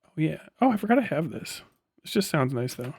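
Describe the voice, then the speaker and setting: A man's voice: on the high side, big pitch swings, a little fast, heavy background noise. A man hosting a casual livestream, chatting with his viewers in a rambling, profane way. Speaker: livestream host. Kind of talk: casual livestream chat with chat replies. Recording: music behind the voice. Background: guitar playing and music.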